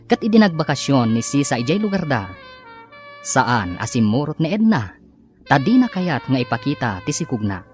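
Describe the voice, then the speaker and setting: An announcer narrates a scripted radio drama with very animated, swooping delivery. Music plays behind the voice, which sits at a middle pitch. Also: normal speed.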